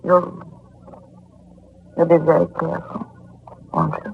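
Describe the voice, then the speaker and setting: A woman's voice: low voice, flat delivery, unhurried, creaky. An actress playing an anxious, affectionate young woman in 1940s radio drama, earnest and worried. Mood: worried, tender. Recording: tinny, faintly noisy 1940s radio recording.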